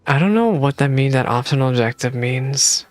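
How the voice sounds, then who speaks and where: Very low-voiced woman streaming a game. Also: lively intonation, normal speed, darkish tone, soft background music. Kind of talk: casual playthrough reaction, reading game text aloud.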